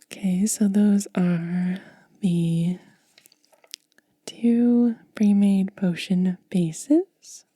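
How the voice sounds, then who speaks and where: A woman hosts ASMR in a breathy, hushed whisper. She moves extremely slowly through short, gentle phrases with pauses between them. The sound is close and very dry, with a soft, mellow tone. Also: medium pitch, fairly even intonation, no echo. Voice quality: breathy, mostly unvoiced whisper. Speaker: ASMR host. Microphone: close ASMR microphone.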